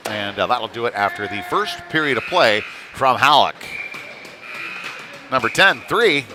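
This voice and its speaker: A man doing live play-by-play of a youth hockey game, talking in a halting, conversational way with folksy slang. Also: mid-range voice, expressive, music in the background. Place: indoor ice rink during a live game. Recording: noisy rink, clear background ambience. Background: rink noise and game ambience.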